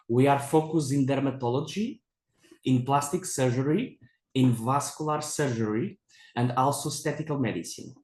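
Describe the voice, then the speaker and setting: A man with a Portuguese accent giving a medical presentation as a dermatologist, in halting, repetitive non-native English. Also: mid-range voice, a little mellow. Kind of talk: medical conference lecture. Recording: echoey presentation room.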